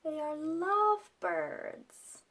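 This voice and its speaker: A woman voicing a calm, helpful little storybook pig who explains things patiently and matter-of-factly. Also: very high voice, very slow, voice pushed up, exaggerated voice.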